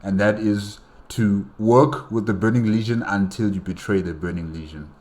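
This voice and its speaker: A man's voice: lowish voice, steady tone, normal speed, noisy background. A man giving casual solo reaction commentary, asking rhetorical questions and addressing characters directly.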